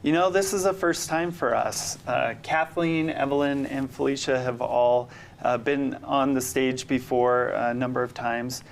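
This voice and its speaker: A middle-aged man answering casually as an interview guest, thoughtful and halting, often repeating words as he goes. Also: medium pitch, steady tone, creaky, a little hiss or hum. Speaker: interview guest, amateur actor. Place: TV studio.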